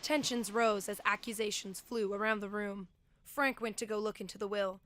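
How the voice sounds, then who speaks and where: A woman playing a yacht captain who narrates an amateur murder mystery audio drama in a dramatic, measured storytelling way. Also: mid-range voice, fairly even intonation, fairly bright tone, natural voice.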